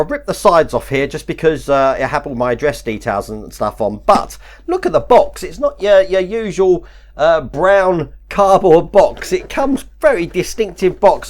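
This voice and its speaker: A man with a hoarse, chesty voice talks casually through an unboxing on his hobby channel. He rambles, piles on fillers and drops small laughs between thoughts. His voice sits a little higher than most men's. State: recovering from chest infection, hoarse deep voice. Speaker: model-kit channel host.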